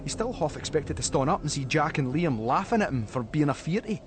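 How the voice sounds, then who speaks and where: A man's voice: on the high side, big pitch swings, brisk, a little hiss or hum. A man with a Scottish accent reading a story as a dramatic scripted narration.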